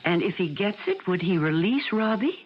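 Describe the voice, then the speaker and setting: A woman playing an anxious yet resolute middle-aged mother in a radio mystery drama, with a husky, theatrical delivery. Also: mid-range voice, moderate pace, natural voice. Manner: expressive, theatrical. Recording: dull old radio recording.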